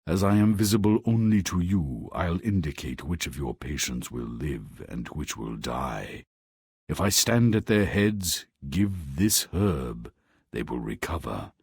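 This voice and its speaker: A man's voice: very animated, darkish tone, no room sound, clean studio sound, exaggerated voice. A man playing Death as a stern, commanding supernatural godfather in a fairy-tale video game. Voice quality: very deep, gravelly, husky. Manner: slow, commanding, ominous.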